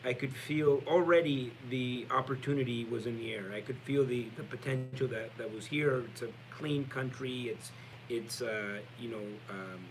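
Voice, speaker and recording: A man in his forties from El Salvador, telling his personal story as a podcast guest in a halting, reflective way. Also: medium pitch, slight background noise, low-quality recording. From born in El Salvador, moved to Canada at nine. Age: 40s. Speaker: podcast guest, real estate entrepreneur.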